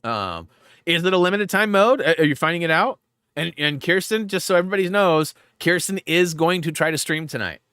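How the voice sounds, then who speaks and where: A man's voice: fairly high pitch, animated, a little fast, pushed and bright, clean tone, no room sound, clean studio sound. A man hosting a gaming livestream in a rambling, casual way, full of fillers, and a bit stuffed up. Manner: rambling, filler-heavy, lots of "like". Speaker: livestream host.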